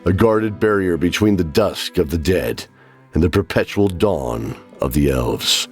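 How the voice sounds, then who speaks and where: A man's voice: sits low, expressive, a little slow. A man working as narrator, reading scripted fantasy narration. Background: music.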